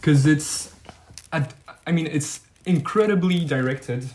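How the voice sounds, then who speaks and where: A man's voice: fairly high pitch, unhurried, a little mellow. A nineteen-year-old man with a French accent chatting informally as a podcast guest, repeating himself as he searches for words. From eastern France. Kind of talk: casual chat.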